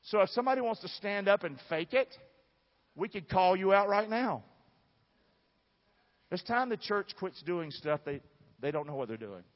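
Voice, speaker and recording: A man's voice: high voice, lively intonation, moderate pace, pauses often. A man with a Southern American accent preaching a sermon in an emphatic, folksy way, putting questions to his congregation. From southern United States. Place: large church room. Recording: dull, reverberant large hall sound.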